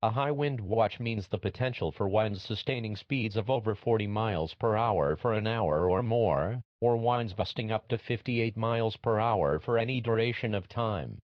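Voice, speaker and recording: A man's voice: fairly low pitch, normal speed, hardly any pauses, dry recording, close to an everyday voice. A man playing a serious weather alert announcer, reading a scripted emergency bulletin. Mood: measured, serious. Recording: dull, dry lo-fi alert audio.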